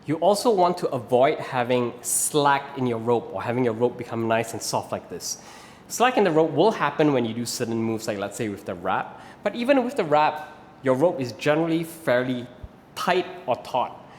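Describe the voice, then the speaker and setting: A man's voice: mid-range voice, very animated, normal speed, big-room sound, slight background noise. A man in his thirties from Malaysia with a Malaysian English accent, patiently explaining rope flow as an instructor and often checking in with "right?". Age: 30s. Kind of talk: instructional video talk.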